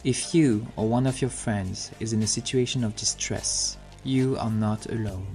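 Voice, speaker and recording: A man reading a podcast's scripted closing message in a calm, measured way. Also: fairly low pitch. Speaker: podcast host. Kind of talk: scripted outro. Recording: voice over clear guitar music.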